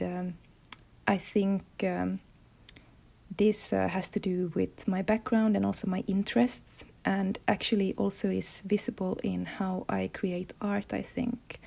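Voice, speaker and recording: Gravelly, even-toned Finnish-woman. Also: medium pitch. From Finland. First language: Swedish. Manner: thoughtful, searching for words. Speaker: illustrator and comics artist. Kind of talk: reflective life-story monologue.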